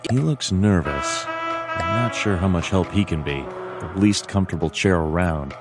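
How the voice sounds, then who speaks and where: Deep deadpan noir detective. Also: male voice, normal speed. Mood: dry, observing. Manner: deadpan, terse, dry. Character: brooding anthropomorphic bird detective, adult male, dry and weary. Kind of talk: noir adventure game narration. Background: jazzy background music.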